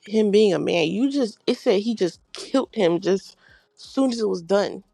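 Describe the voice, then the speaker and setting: Gravelly woman, blunt and emphatic, podcast cohost, dry close sound. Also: medium pitch, lively intonation, normal speed, warm tone, no room sound, soft background music. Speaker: podcast co-host. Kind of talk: casual podcast chat.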